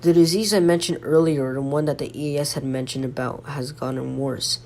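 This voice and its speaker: A man playing a sick, halting local radio host who reads breaking news in an amateur audio drama. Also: fairly high pitch, steady tone, darkish tone, natural voice. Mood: weary, unwell. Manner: halting, stumbling, breaking off to apologize. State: sick, coughing. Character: ailing male local radio news host, dutiful but fading. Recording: noisy home recording with hum.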